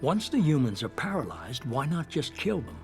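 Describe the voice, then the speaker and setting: An actor playing a shadowy, calculating middle-aged leader in a sci-fi game, speaking with measured, composed authority. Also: male voice, a little high, sing-song, a little mellow, faint music behind. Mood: cool, measured. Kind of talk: cinematic sci-fi game dialogue.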